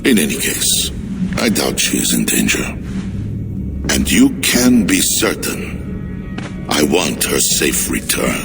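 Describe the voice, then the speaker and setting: Brief: a proud, mocking old king; slow, deep, swinging delivery. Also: sing-song, full character voice. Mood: scheming. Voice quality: gravelly and deep. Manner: slow, haughty and mocking, with scornful laughs. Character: scornful pious Frankish king, older man. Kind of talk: video game cutscene acting. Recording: game audio under loud music.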